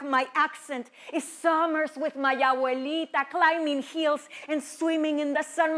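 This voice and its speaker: A woman's voice: high-pitched, fairly bright tone. A woman from Mexico with a Mexican accent, performing spoken word poetry and teaching how it is made. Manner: slow, measured poetic delivery with Spanish words. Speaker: teaching artist.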